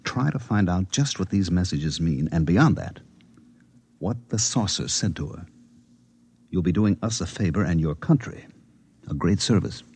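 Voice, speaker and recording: An actor playing a formal, persuasive government security chief in a 1950s radio drama, speaking deliberately and pressing his case. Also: low voice, expressive, a little mellow, husky, heavily acted. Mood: official, persuasive.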